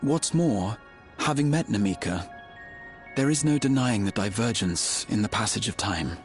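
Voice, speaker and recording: An actor voicing a self-assured young scholar in a video game, explaining things in formal, precise, deliberate phrases. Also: male voice, lowish voice, expressive, faint music behind, natural voice. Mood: measured, analytical. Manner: articulate, formal, deliberate lecturing delivery. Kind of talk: scripted video game exposition.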